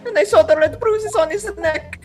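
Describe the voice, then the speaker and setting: A woman's voice: very high voice, moderate pace, a little mellow, soft background music. A woman playing a game with friends, giggly and making jokes. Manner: giggly, jokey. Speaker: game player. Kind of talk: casual let's-play chat.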